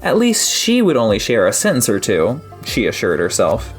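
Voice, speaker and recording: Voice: mid-range voice, wildly swooping pitch, moderate pace. Casting: narrator for a scripted audiobook, mid-range voice, music underneath. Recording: music behind the voice.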